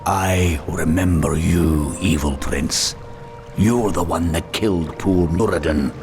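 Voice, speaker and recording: An actor playing a stubborn male dwarf gatekeeper who gives slow, stern warnings. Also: deep voice, over music.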